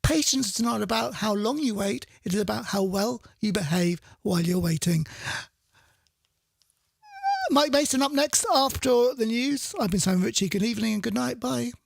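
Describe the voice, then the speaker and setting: Male soul-radio presenter, informal and chatty, fry in the voice, recorded dry and close, loose unscripted delivery. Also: high-pitched, normal speed, dry recording. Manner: chatty, rambling, self-correcting. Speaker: radio DJ and show host. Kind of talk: casual radio presenting between soul songs.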